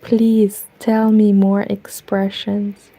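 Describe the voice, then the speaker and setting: A woman's voice: mid-range voice, level tone, drawn-out words, mellow, poor audio. A woman with a Romanian accent chatting casually to viewers while she knits, rambling and often dropping into a whisper. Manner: rambling, talks to herself, drifts into whispers. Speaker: knitting streamer. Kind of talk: casual chat while knitting. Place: home room with curtains.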